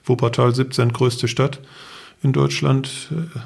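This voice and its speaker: Man explaining in detail, slightly creaky voice. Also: mid-range voice, flat delivery, slow pace, a little mellow. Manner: explains matter-of-factly in detail. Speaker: interview guest, enduro event organizer; architect for the city. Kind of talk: conversational interview storytelling.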